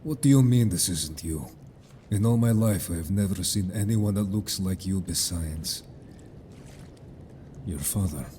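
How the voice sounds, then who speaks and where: A man playing a stern, blunt merfolk companion in an audio drama, formal and measured in speech, suspicious of humans. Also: low voice, dark tone, slight background noise. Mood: urgent, demanding.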